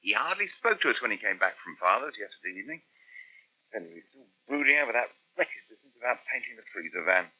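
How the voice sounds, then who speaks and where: A man's voice: fairly low pitch, very animated, quick pace, breathy edge, dull audio. An actor playing a proud, easily wounded English husband and father in a radio soap, with a clipped, fretful manner, on a poor old recording. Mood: resentful. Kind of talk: British radio soap acting.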